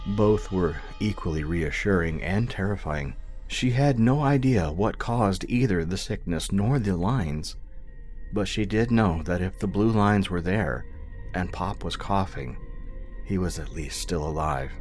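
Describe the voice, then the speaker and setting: A man's voice: low voice, measured pace, darkish tone. A man narrating scripted audio fiction in a dramatic storytelling style. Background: music.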